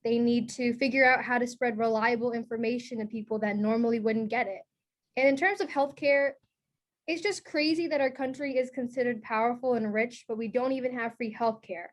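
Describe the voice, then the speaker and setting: A woman performs an outspoken woman in a documentary play. Her voice sits a little high. She speaks as a frustrated participant in a serious group discussion. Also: steady tone, a little fast, close to an everyday voice. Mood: frustrated, insistent.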